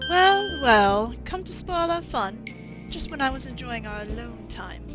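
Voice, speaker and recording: A woman's voice: on the high side, lively intonation, a little slow, music in the background. A woman playing a haughty, sardonic robotics scientist who taunts slyly in a video game fandub. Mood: sly teasing.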